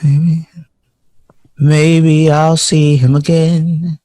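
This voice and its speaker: A man's voice: fairly high pitch, flat delivery, very slow, warm tone. An actor playing a heartbroken, wistful male jazz composer in a 1960s audio drama. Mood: sudden inspiration.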